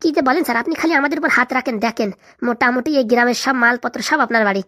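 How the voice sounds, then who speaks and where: A woman's voice: a little high, very fast, sharp tone. A woman voicing an eager, fawning young male henchman in a comedy cartoon, chattering boastfully with a rural Bengali accent.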